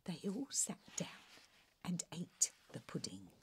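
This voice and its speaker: A woman reading a children's bedtime story aloud in an expressive, theatrical manner. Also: lowish voice, slow, a little hiss or hum. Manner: animated, theatrical delivery.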